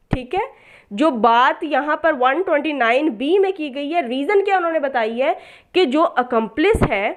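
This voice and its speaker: A woman giving an explanatory law lecture as a teacher, posing questions and answering them, repeating points and mixing in English terms. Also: sits high. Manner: mixes in English legal terms.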